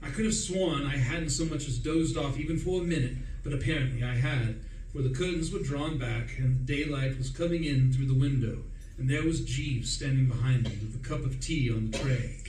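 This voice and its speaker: A man playing an excitable upper-class gentleman who narrates a radio play in a breezy, self-dramatizing way. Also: mid-range voice, moderate pace, close to an everyday voice. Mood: animated storytelling. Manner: breezy, self-dramatizing, indignant when crossed. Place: live performance in a room, distant mic. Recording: roomy, distant, faint noise.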